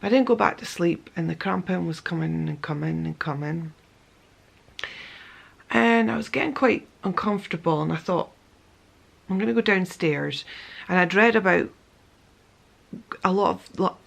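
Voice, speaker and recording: A young woman with a croaky voice, casually telling her story to the camera in a chatty way, with many ums and self-corrections. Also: fairly low pitch, normal speed, pauses often. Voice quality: gravelly. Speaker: vlogger.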